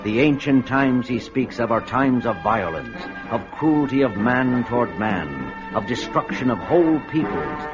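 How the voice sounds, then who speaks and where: Measured male announcer, expressive delivery, lo-fi old radio sound. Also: medium pitch. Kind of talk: old radio drama introduction. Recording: dull old radio audio, music behind.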